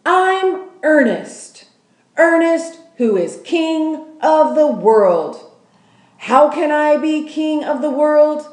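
Storybook role: woman playing a small, boastful garbage king, high and crowing, slow proclamations, roomy echo. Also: exaggerated voice. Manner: loud, crowing, drawn-out proclamations.

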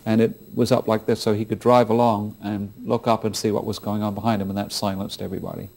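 American man, middle-aged, lowish pitch, conversational interview, halting explanatory delivery. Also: flat delivery, vocal fry. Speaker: interviewed racing historian. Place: indoor room, filmed interview.

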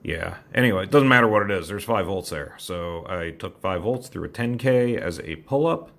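A man's voice: low voice, lively intonation, moderate pace, gravelly, a bit bright. A man casually walking through an electronics hobby mod at his workbench, in a rambling, self-deprecating way. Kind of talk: casual technical walkthrough. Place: home workbench with computer gear.

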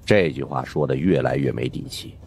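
A man's voice: extremely low pitch, brisk, creaky, a little mellow, slight background noise. A man narrating a supernatural suspense audiobook in a dramatic storytelling style.

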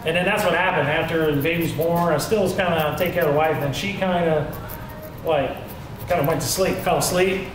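A man's voice: fairly high pitch, fairly quick, music in the background. Man telling stories in an echoey barbershop. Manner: loose, rambling, self-mocking storytelling. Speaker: panelist. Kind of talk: casual barbershop talk. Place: barbershop, indoors. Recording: very echoey shop with crowd chatter.

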